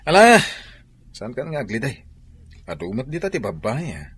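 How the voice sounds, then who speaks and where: A male actor with a Filipino accent playing a cocky, playful young soldier in a radio drama, bantering in a husky voice. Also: mid-range voice, normal speed, a little hiss or hum. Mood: teasing banter. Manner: animated, bantering.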